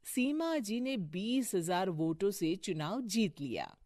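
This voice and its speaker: Woman narrator for an animated moral tale, unhurried, dry recording. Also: medium pitch, dry recording. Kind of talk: storybook cartoon narration.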